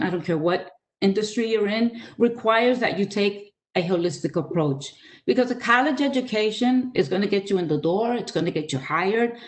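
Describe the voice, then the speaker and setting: Earnest older woman giving a webinar talk. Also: medium pitch. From Dominican Republic, raised in New York City. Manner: measured, earnest, with frequent "uh" fillers. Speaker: guest speaker, author. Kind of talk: webinar presentation.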